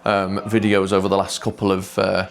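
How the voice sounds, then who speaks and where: A man, talking quietly and hesitantly to camera in a casual travel vlog, pausing often with ums, on a clip-on microphone. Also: deep voice, completely flat delivery, vocal fry. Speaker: vlogger.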